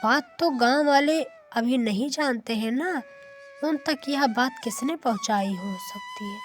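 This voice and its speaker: A woman's voice: sits high, unhurried, faint music behind. A woman voicing an obsequious, cowardly young manservant in a cartoon story. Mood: conspiratorial.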